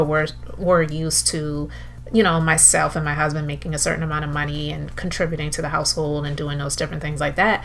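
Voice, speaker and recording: A woman telling a personal motivational story in an earnest way, with deliberate, emphatic repetition. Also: sits low, fairly bright tone. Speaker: entrepreneur telling her story. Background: background music.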